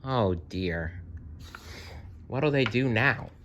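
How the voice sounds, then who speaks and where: Bright-voiced man reading a fairytale aloud. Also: fairly low pitch, drawn-out words, dull audio, a little hiss or hum. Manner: expressive, addresses young listeners directly. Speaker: storyteller. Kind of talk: fairytale read-aloud narration for children.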